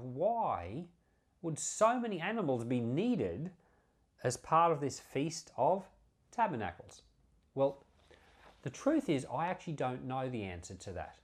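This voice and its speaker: A man giving informal Bible teaching as a pastor, speaking earnestly and conversationally with emphatic repetition. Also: medium pitch. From Australia. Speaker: pastor, Bible podcast host.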